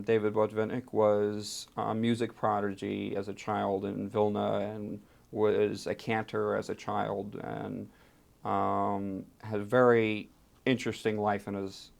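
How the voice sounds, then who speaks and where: A man being interviewed, telling a personal story with dramatic emphasis and pauses. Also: fairly low pitch, gravelly, a little mellow. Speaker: interviewee, archive fellow and translator.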